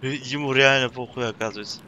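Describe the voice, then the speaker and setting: A man's voice: medium pitch, steady tone, fast, sharp tone, muffled recording, a little hiss or hum. A man in his twenties chatting casually and swearing in slang as he streams a game from a small room. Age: 20s. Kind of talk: casual live-stream gaming chat.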